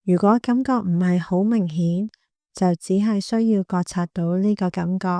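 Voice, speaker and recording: A woman with a Hong Kong Cantonese accent guiding a meditation in a gentle, calm, unhurried manner. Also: mid-range voice, no echo. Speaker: meditation guide, clinical psychologist. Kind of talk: guided body scan meditation.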